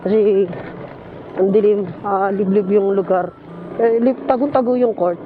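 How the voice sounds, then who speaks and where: A man's voice: high voice, fairly even intonation, measured pace. A Filipino man chatting casually and teasingly as a motorcycle taxi rider vlogging on the road. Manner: playful, teasing. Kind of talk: casual joking chat. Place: outdoors, riding a motorcycle. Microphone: helmet intercom headset. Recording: dull helmet intercom, loud road noise. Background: vehicle and road noise.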